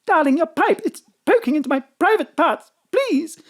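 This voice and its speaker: A woman's voice: very high-pitched, expressive, moderate pace. A woman playing a flustered, melodramatic lover in a parody of old romantic audio drama. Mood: flustered, pained.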